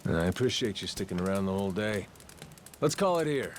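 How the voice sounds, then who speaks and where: Man voicing a brash, animated gruff yakuza guy. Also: lowish voice, fairly quick, slight background noise, lightly acted. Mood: easygoing, wry. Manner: rough colloquial slang with swearing. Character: gruff amnesiac yakuza man, brash but good-natured. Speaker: video game character actor. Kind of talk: English video game dub, cinematic dialogue.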